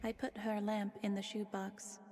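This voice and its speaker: A woman's voice: medium pitch, flat delivery, normal speed, close to an everyday voice. An actress playing a dreamy, eccentric, devoted fangirl who talks in whimsical riddles. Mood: dreamy, cryptic. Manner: cryptic, whimsical.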